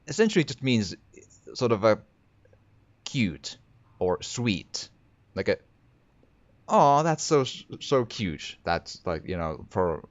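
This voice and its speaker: Finnish-accented man with a low voice. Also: big pitch swings, slow, long pauses, no room sound, lo-fi sound. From Finland. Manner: thinking aloud, stammering restarts. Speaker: gaming video creator. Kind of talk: horror game let's play commentary.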